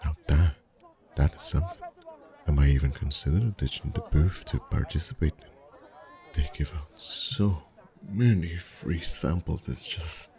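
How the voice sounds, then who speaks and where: A man acting as a friendly festival face painter in an ASMR roleplay. His voice is extremely low and mellow, softly spoken and gentle. He rambles in a chatty, lightly flirtatious way. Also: fairly even intonation, unhurried. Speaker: ASMR voice actor.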